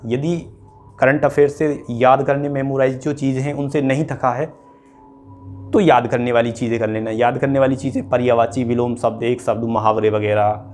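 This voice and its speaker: Male coaching teacher, noisy recording. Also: medium pitch. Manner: explanatory, repetitive, with frequent check-in tags. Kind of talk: informal instructional lecture to students.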